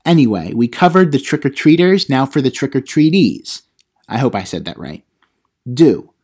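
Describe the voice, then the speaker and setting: A man's voice: mid-range voice, sing-song, normal speed, a little mellow. A man playing a cheeky, self-important teenage vlogger who gives mock how-to advice in an audio comedy. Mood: smug, instructive. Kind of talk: comedic how-to vlog monologue.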